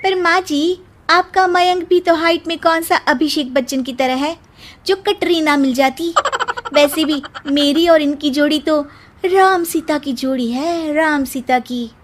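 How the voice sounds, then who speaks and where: A woman's voice: high voice, unhurried, slight background noise. A woman voicing a short, cheerful young bride who talks back pertly and with self-assurance in a cartoon story. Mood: cheeky, self-assured. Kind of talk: Hindi cartoon story dialogue.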